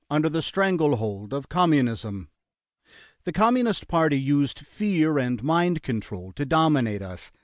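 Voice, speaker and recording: A man narrating an audiobook in a measured, earnest reading style, close to a studio mic. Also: medium pitch, no room sound. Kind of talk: scripted narration.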